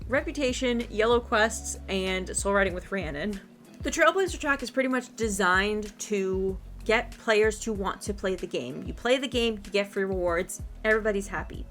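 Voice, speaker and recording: Chatty gal doing a casual game guide, highish voice, bit of music under her. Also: a bit bright. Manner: chatty, informal, encouraging. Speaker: gaming video host. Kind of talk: casual gaming guide commentary. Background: background music.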